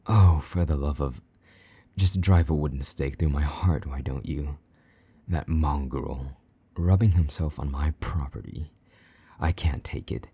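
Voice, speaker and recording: Vampire knight, played by a man: rock-bottom pitch, dark breathy tone, possessive, condescending, commanding, intimate roleplay delivery. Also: no echo, exaggerated voice. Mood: jealous, disgusted. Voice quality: low, husky, breathy, often half-whispered. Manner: slow, taunting, commanding. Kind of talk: intimate roleplay audio drama.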